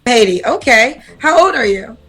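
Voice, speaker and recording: A woman's voice: on the high side, animated, normal speed, sharp tone, breathy edge. A woman hosting a live dating show, direct and bossy with callers, calling them honey and baby.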